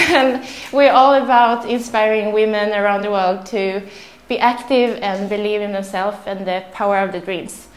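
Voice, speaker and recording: A woman's voice: mid-range voice, steady tone, normal speed, few pauses, reverberant. A young woman with a Swedish accent, giving a nervous, chatty talk to a live audience and laughing often. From Sweden. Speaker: speaker. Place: room with audience.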